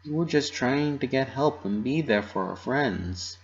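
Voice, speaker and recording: A man voicing a loyal young female pegasus pony in a story reading, speaking calmly and confidently to console. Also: medium pitch, a little slow, lo-fi sound, voice pushed up. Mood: reassuring.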